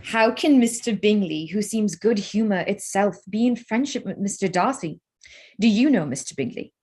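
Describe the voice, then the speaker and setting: A woman playing a candid, spirited young gentlewoman in a staged period drama reading, forthright and earnest. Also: mid-range voice, animated, moderate pace, slightly rough. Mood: earnest, curious.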